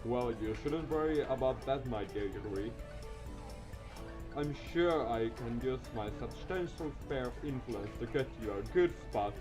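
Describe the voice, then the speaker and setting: A man's voice: medium pitch, animated, measured pace, close to an everyday voice. A man voicing a grandiose, theatrical teenage schoolboy show-off in a visual novel, with music behind. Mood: pompous swagger. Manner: pompous, theatrical.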